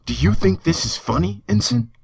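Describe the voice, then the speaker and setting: A man's voice: mid-range voice, big pitch swings, moderate pace, gravelly, a crowd in the background. A man playing a stern, exasperated, by-the-book spaceship captain in an audio drama, clipped and scolding. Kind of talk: science-fiction audio drama acting.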